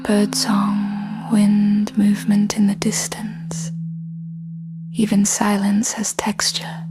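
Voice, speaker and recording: A woman with a soft, breathy, hushed voice leading a scripted guided body scan meditation in short phrases with long pauses. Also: medium pitch, completely flat delivery, loud music behind the voice.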